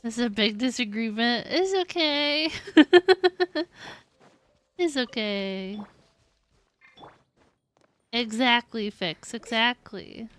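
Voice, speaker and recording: High-voiced, giggly woman streaming casually. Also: expressive, drawn-out words, pauses often, a bit bright, no room sound. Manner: giggly, playful teasing. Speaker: livestreamer, VTuber. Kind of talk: casual gaming stream chat with viewers.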